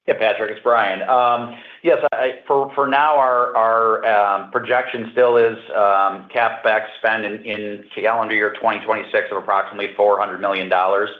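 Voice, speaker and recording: A man's low voice comes over a narrow phone line with a roomy echo. He is an executive giving unscripted answers, measured and halting, often repeating words as he works through a point. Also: fairly even intonation. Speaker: company executive answering analysts. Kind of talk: unscripted earnings call answers.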